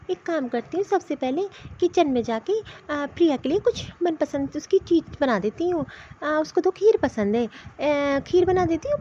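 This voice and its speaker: A woman's voice: very high-pitched, dark tone, a little hiss or hum. A woman voicing a fussing, affectionate mother-in-law in a comedy cartoon story, with slightly dull sound.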